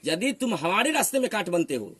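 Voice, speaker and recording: A man's voice: sits high, big pitch swings, fairly quick, pushed and bright, slightly rough. A man with a Bihari accent arguing like a preacher, assertive and admonishing, often putting rhetorical questions. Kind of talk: heated argument, preaching.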